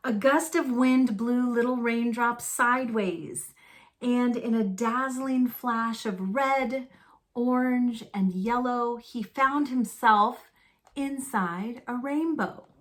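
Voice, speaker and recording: Woman, higher-pitched voice, children's read-aloud narration, slow and deliberate, storyteller for young listeners. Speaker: storyteller reading a picture book aloud to children.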